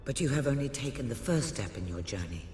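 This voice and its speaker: An actor playing a grave, commanding mystic guide in a video game, speaking in a measured, portentous manner. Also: male voice, mid-range voice, big pitch swings, close to an everyday voice. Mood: solemn, urging. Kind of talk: dramatic video game cutscene acting. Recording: echoey long reverb, music behind.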